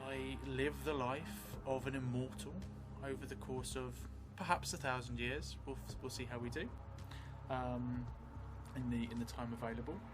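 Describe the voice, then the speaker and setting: A middle-aged man casually explaining a tabletop game on a livestream, in a chatty, hesitant way. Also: medium pitch. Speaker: host and solo player of a role-playing game. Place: small dark corner room in a theatre. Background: prominent background music.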